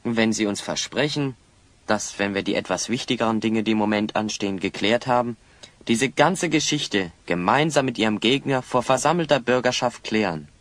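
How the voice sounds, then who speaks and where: A man's voice: lowish voice, normal speed, fairly bright tone, dry recording, natural voice. A man playing a resolute, fair-minded hero in a children's radio play, speaking in a firm, articulate, commanding way. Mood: diplomatic.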